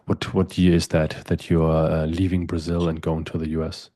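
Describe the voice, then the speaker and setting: Very deep, flat-voiced male host. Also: moderate pace, dry recording, clean studio sound. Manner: long winding questions with restarts. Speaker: podcast host. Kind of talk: interview questions.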